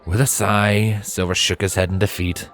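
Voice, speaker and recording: A man's voice: lowish voice, level tone, normal speed, a bit bright. A man reading a scripted story aloud as its narrator. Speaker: fan fiction narrator. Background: background music.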